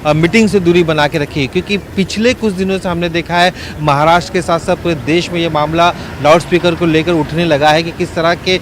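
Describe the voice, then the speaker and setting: A man giving an unscripted live news report in a slightly high voice. His delivery is halting and full of filled pauses. Faint urban traffic noise sits behind him outdoors. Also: steady tone.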